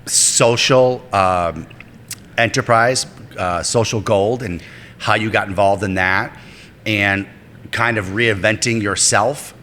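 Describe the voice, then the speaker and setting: This man hosts a podcast with a forceful, bright-edged voice sitting low in pitch. He asks long, rambling questions full of fillers and restarts. His voice carries a long reverb with faint music underneath. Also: slow pace, a little hiss or hum. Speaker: podcast host. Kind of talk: casual interview hosting.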